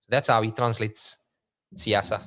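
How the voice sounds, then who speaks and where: A man's voice: fairly low pitch, steady tone, fairly bright tone. A man co-hosting a podcast, explaining ideas in a casual conversational way. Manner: quick, explanatory, mixes in Afrikaans slang. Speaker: podcast co-host.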